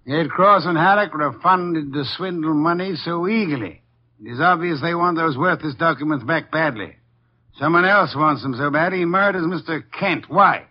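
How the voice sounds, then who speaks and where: Actor as pompous master detective, muffled radio. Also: male voice, a little high, normal speed, close to an everyday voice. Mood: pondering. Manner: formal, pompous, precise. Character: imperious, cerebral male master detective. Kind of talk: 1950s radio detective drama acting. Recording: muffled vintage 1950s radio recording.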